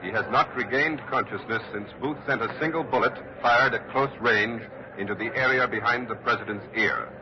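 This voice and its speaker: A dull old radio broadcast with a clearly audible crowd. An actor plays a somber male field reporter with a medium-pitched voice. He reports in a grave, measured 1940s radio style. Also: close to an everyday voice. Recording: muffled 1940s radio recording, crowd behind.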